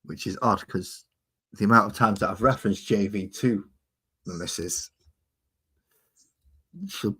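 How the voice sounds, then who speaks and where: Jokey deep-voiced man. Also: a little slow, long pauses, sharp tone, breathy edge. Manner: jokey, rambling, chats with viewers. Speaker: host of a painting livestream. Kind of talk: casual chat while painting.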